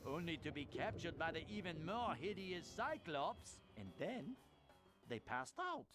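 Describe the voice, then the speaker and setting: A man's voice: fairly high pitch, very animated, unhurried, a bit bright. A man playing a grandiose, theatrical narrator, delivering melodramatic storybook narration for a cartoon game in a pompous manner. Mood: dramatic. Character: grandiose, theatrical male storyteller, fussily dramatic. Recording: music behind the voice.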